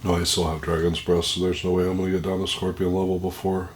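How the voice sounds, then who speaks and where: A man with a very deep, low voice talks haltingly through a pepper tasting, his nose running and blocked up. He speaks into a plugged-in microphone at home, with a faint hiss behind him. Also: level tone. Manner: halting, describing the burn as it builds. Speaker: pepper reviewer. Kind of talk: casual vlog commentary. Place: indoors at home.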